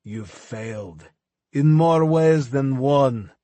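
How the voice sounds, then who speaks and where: A man's voice: medium pitch, mellow, no echo, natural voice. A man doing a gloating fanatical smuggler. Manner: gloating, slow and taunting. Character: menacing male arms smuggler, taunting, fanatical.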